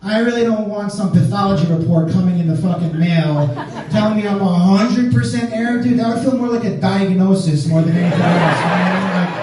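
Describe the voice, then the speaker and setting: A man's voice: sits high, a little fast, far from the mic. A man from Staten Island doing a profane stand-up set on a club stage, riffing and quizzing the crowd. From Staten Island, New York. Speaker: stand-up comedian. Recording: echoey club, picked up from afar. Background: laughing audience.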